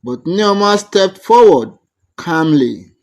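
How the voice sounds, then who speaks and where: Male storyteller, scripted folktale, very slow pace, highly varied intonation, dramatic, deliberate. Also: animated, a little mellow. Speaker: storyteller, narrator.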